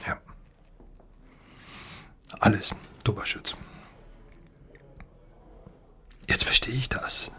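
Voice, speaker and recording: An actor playing a gruff, loyal and hot-tempered male friend with a blunt, earthy manner in a radio crime drama. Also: takes it slowly, sharp tone, in a whisper. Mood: quiet, hurt.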